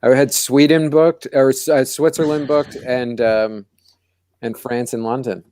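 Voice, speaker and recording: A man chatting casually among friends, lively and quick to laugh. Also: mid-range voice. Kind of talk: casual comedic chat.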